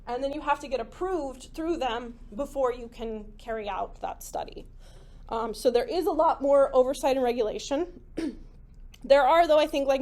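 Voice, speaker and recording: A woman giving a classroom lecture in a conversational way, full of "like" and "right?", her voice slightly hoarse from talking. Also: fairly high pitch, a little mellow. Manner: conversational, many "like" and "right?" fillers. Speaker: psychology lecturer. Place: lecture room.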